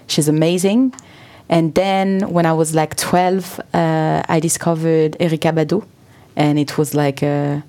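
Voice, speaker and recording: A woman in her thirties from Switzerland, a singer answering radio interview questions in a chatty way, with many fillers. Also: deep voice. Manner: relaxed, chatty, lots of "like" fillers.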